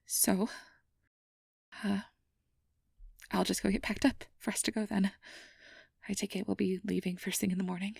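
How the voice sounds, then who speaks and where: A woman playing a nervous, earnest young fantasy researcher in an ASMR roleplay, rambling and self-doubting, with soft breathy delivery. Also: medium pitch, level tone, normal speed, pauses often, no room sound, clean studio sound. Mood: deflated. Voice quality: breathy, often dropping to a soft whisper. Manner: flustered, stammering, over-explaining, self-doubting.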